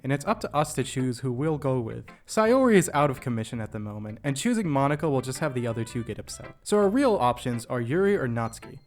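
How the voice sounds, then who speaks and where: A young man delivers scripted video essay narration with music underneath. His voice sits at a medium pitch, and his manner is jokey and self-deprecating, in the style of an online storyteller. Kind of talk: scripted narration with comedic asides. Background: background music.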